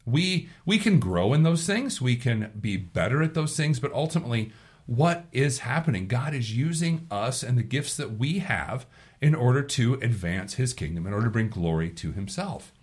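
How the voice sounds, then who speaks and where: A husky, hoarse man speaks at a middle pitch as a pastor giving a short devotional sermon and prayer. He talks earnestly, often doubling a word as he searches for the next thought. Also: lively intonation, moderate pace. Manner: earnest, repeats words while thinking. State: hoarse from allergies.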